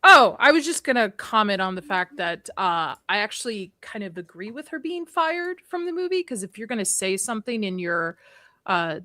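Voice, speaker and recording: A woman's voice: mid-range voice, very animated, moderate pace, sharp tone, dry recording. A woman on a livestream panel, outspoken and opinionated, teasing the others. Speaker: panel guest. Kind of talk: casual panel chat.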